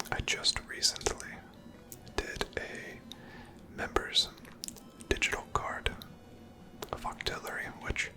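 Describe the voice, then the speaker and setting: An ASMR game streamer speaks entirely in a breathy whisper. The delivery is unhurried and reflective, as if thinking out loud while playing. No full voice comes through, only soft, airy speech. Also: rough recording. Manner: unhurried, musing aloud. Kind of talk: whispered ASMR gameplay commentary.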